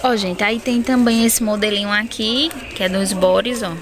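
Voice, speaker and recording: A woman's voice: mid-range voice, fairly even intonation, a crowd in the background. A woman from northeastern Brazil with a Pernambuco accent, filming a walkthrough of her baby clothing shop on a phone and listing prices in a chatty way. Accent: Pernambuco Portuguese. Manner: chatty, lists prices quickly, addresses viewers as "gente". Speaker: wholesale shop owner. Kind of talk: casual product walkthrough. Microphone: phone camera held while filming.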